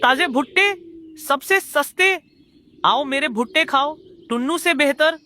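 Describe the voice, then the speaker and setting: A man's voice: very high-pitched, animated, measured pace, pushed and bright, exaggerated voice. A man voicing a jealous, boastful male crow villain in a children's cartoon. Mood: hawking. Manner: boastful, declamatory. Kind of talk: Hindi kids' cartoon dialogue.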